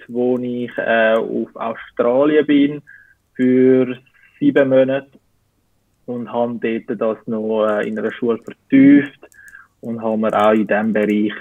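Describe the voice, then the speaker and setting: Slow-talking Zurich man on a tinny call. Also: medium pitch, flat delivery, gravelly, dry recording. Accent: Zurich Swiss German. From Switzerland. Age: 20s. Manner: slow, searching for words. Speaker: interview guest. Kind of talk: casual interview answers. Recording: tinny phone-like call audio.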